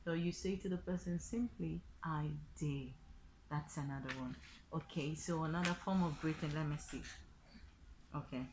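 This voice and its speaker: Low-voiced Nigerian woman teaching informally. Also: normal speed, background noise. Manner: explains step by step, repeating phrases with examples. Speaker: online language teacher and vlogger. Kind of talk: informal spoken tutorial.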